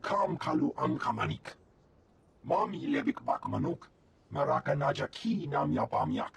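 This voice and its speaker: A man voicing a gravelly alien creature that speaks a clipped, made-up tongue in a game. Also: mid-range voice, very animated, normal speed, heavily acted. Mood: guttural, insistent.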